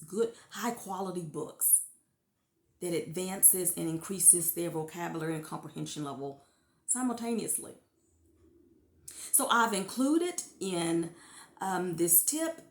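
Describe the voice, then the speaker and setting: A woman in her thirties, a former classroom teacher, giving parents earnest, encouraging reading tips and lifting her pitch to stress key points. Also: roomy sound. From United States. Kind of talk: informal teaching talk to parents.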